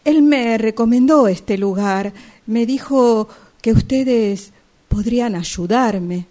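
A woman's voice: fairly high pitch, slow pace, dark tone. A woman with an Argentine accent playing a timid, nervous young client in an amateur radio play, speaking hesitantly.

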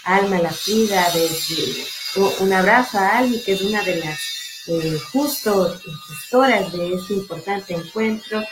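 A woman's voice: medium pitch, unhurried, over music, low-quality recording. A woman moderating an online meeting on a video call. She greets the audience, reads introductions and talks in a friendly way with many small fillers. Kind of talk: moderating a virtual panel, reading introductions.

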